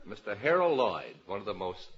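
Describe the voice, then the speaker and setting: A dull, lo-fi old radio recording of an American man hosting a panel quiz show. His pitch swings in an animated way as he presents with deliberate, announcer-like phrasing. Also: a crowd in the background. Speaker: game show host.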